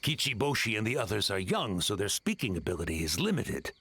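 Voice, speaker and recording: A man voicing a proud, excitable tanuki mentor in a video game, with a theatrical, blustering and suspicious delivery. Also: on the low side, brisk, slightly rough, no room sound, full character voice. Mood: fussy. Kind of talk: English video game voice acting.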